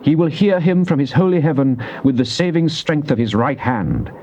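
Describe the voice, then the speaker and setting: An actor playing a devout psalmist king, reciting scripture in a solemn, declamatory, deliberate way. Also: fairly high pitch, lively intonation, dark tone. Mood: solemn, exalted. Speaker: actor reading Psalms in a dramatized Bible recording. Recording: muffled old cassette tape with faint noise.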